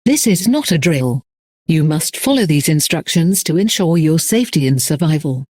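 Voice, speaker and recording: A man delivers a scripted emergency announcement as a broadcast announcer. His voice sits a little higher than most men's. He speaks formally and with measured phrasing, in a very dry sound with no room around it. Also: very animated, darkish tone, breathy edge, dry recording.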